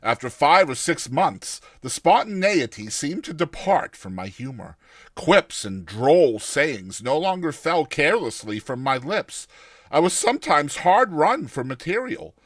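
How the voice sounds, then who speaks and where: A man reading a classic short story aloud as a dramatized first-person narration, with a theatrical, expressive delivery. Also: a little high, unhurried, no room sound, clean studio sound.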